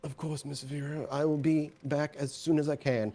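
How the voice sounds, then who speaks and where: A man's voice: fairly high pitch, little pitch movement, moderate pace, dark tone, close to an everyday voice. A man voicing a tired, obliging old man who speaks politely in a tabletop roleplay.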